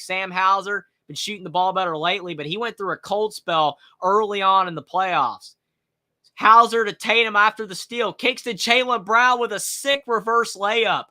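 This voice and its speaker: Young Southern man with a bright voice. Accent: Southern US, North Carolina. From North Carolina, USA. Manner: excited, rising pitch on big plays. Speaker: sports live-stream host. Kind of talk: live play-by-play reaction with viewer chat.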